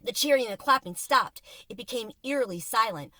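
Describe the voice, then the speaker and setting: A woman reading a children's book aloud from inside a car, with expressive, suspenseful dramatic narration like a teacher. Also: a little high, brisk, no echo. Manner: expressive, suspenseful storytelling with varied volume.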